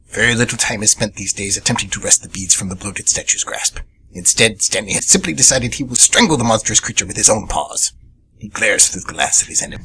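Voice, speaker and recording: A man's voice: fairly low pitch, pushed and bright, a little hiss or hum, low-quality recording. A man performing radio theatre as a vengeful, obsessed little monkey demon hunter, narrating with grave drama.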